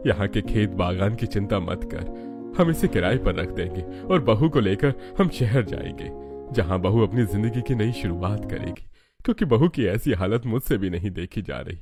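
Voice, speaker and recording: A man's voice: on the low side, expressive, normal speed, music in the background. A man voicing a solemn, caring older head of a family in a cartoon story, grave and decisive. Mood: grave, sympathetic. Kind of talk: Hindi cartoon story dialogue.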